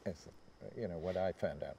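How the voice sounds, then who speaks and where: A man in his seventies reminiscing as a panel guest on a theatre stage, speaking in a halting, tentative way. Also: low voice, mellow, husky. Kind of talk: conversational reminiscence.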